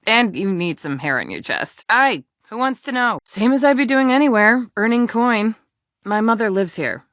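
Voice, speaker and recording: A woman's voice: mid-range voice, fairly bright tone, no room sound, studio quality. An actress playing a blunt, sarcastic female dwarf who works as a tavern barmaid in a video game. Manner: sardonic, blunt, earthy. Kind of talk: video game character dialogue.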